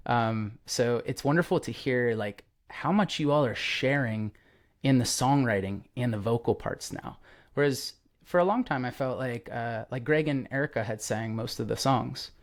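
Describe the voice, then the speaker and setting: A young man hosts a podcast in an easy, rambling way, full of "um" and "like". He sounds openly enthusiastic. His voice sits at a middle pitch for a man. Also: moderate pace. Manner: enthusiastic, rambling with many fillers. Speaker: podcast host. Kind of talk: casual interview chat.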